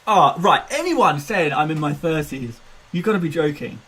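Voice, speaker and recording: Young male streamer, lively and a bit high-pitched, chatty and self-mocking, faint background hum. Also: normal speed. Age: 20s. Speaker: fantasy football streamer. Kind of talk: casual live-stream chat.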